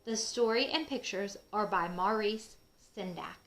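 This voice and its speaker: A woman's voice: mid-range voice, a little slow. A woman hosting a children's read-aloud, telling a picture book story expressively and addressing young listeners in simple terms. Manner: asks young listeners questions, explains simply; emphatic, expressive reading.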